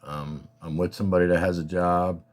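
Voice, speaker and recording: A man with a slightly scratchy voice, casually and haltingly talking through his collection as a hobbyist host. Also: sits low, level tone. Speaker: collector hosting a card showcase. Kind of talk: casual rambling show-and-tell talk.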